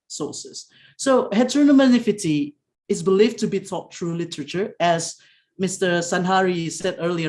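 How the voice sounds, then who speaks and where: A young woman with a very deep voice giving an academic presentation over a video call, halting with frequent fillers and restarts. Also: a little mellow. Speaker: conference presenter.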